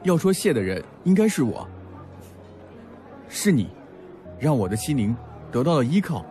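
An actor playing a shy, gentle young Japanese male student in a radio drama, speaking hesitantly. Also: medium pitch, big pitch swings, normal speed, soft background music, natural voice. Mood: tender.

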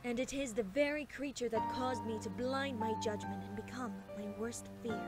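A woman's voice: a little high, moderate pace, loud music behind the voice, natural voice. A woman voicing a grave, reassuring pony princess in a fan cartoon, solemn and formal. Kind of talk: animated fan cartoon acting.

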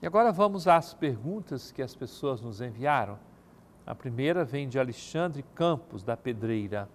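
An older man speaking as an archbishop in a TV studio, answering viewers' questions in a measured, formal pastoral manner. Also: mid-range voice, lively intonation, slight background noise. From Brazil. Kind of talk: televised pastoral talk. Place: TV studio set. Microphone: studio mic, seated at desk.

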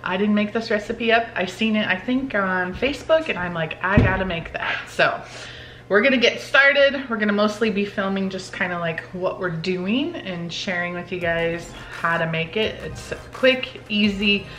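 A woman's voice: medium pitch, normal speed, a bit bright. A woman in her forties chatting informally and giving recipe instructions in a home kitchen, with a teasing, casual manner. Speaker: home cooking vlog host.

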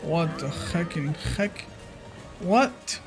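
A man in his thirties doing excitable live gameplay commentary for a chat, exclaiming and repeating phrases for emphasis. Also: fairly high pitch, extremely slow, loud music behind the voice. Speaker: video game streamer. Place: indoor streaming room.

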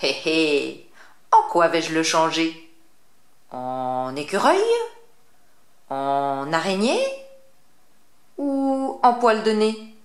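A woman voicing a cackling old witch who speaks in a theatrical, drawn-out way, in an echoey room. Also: deep voice, lively intonation, full character voice. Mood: menacing.

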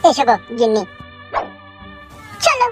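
A man's voice: extremely high pitch, huge pitch swings, unhurried, fairly bright tone, faint music behind, full character voice. A man voicing a put-upon, earnest young village husband in a comic cartoon. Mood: resigned, hurt.

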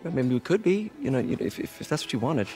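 An actor playing an awkward, earnest young American superhero in a video game, speaking in a hesitant, self-deprecating, conversational way. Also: male voice, on the high side, sing-song, fast, mellow, faint music behind. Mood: nervous, hopeful. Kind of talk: naturalistic video game cinematic acting.

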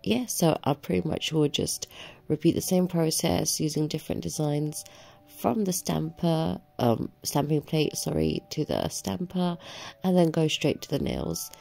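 A woman's voice: deep voice, sing-song, a little slow, gravelly, mellow. A young woman with a cold and a nasal, sore-throated voice, gushing enthusiastically through a nail product voiceover. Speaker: nail vlogger.

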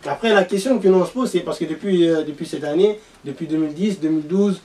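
A man's voice: fairly high pitch, fast, dark tone. A young man speaking as a panelist in a casual discussion. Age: young adult. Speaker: panelist presenting a football segment. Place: small meeting room around a table. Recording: distant room mic.

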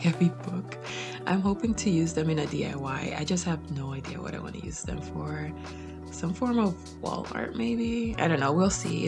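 A woman in her thirties talks through her cleaning in a relaxed, candid voice-over. Her voice sits low with a gravelly fry at the ends of phrases. Faint music plays underneath. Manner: chatty and candid, soft asides. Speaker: cleaning vlogger. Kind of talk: casual voice-over narration.

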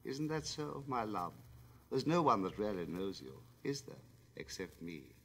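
A man's voice: on the low side, expressive, a little slow, a bit bright, slightly rough, voice pushed down, full character voice. A man voicing a capricious, coquettish young woman with a coaxing, lightly raised tone in a storybook reading. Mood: coy.

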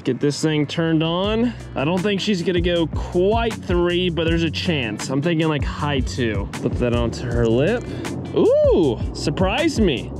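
A man narrating his own fishing challenge from a small boat on a lake, excitable and quick to holler and laugh. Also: a little high, over music. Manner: excitable, hollers and laughs when a fish bites. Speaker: fishing youtuber. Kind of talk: energetic fishing vlog narration.

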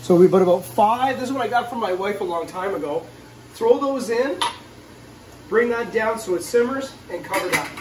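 A man's voice: sits high, expressive, normal speed. A man in his forties giving a casual, upbeat cooking demonstration to camera in a home kitchen, addressing viewers as guys. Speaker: cooking show host. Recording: roomy, distant mic, faint kitchen noise.

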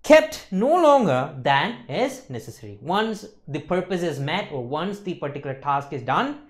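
A man's voice: a little high, very animated, normal speed. A young man in his twenties giving an exam revision lecture as an instructor, explaining emphatically and often checking that listeners follow. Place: indoor lecture studio with slides.

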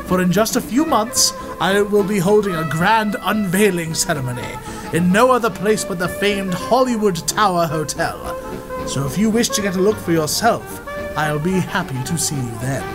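An actor playing a boastful, smug gentleman explorer in a 1930s-style radio serial, with a pompous, theatrical delivery. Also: high voice, lively intonation, normal speed, over music, poor audio. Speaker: radio drama lead.

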